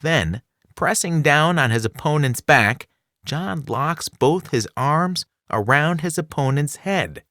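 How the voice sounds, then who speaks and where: A man reading a children's nonfiction book aloud as scripted narration, enunciating clearly with a dramatic storytelling delivery. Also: a little high, expressive, slow pace, pushed and bright, no echo, clean studio sound. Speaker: audiobook reader.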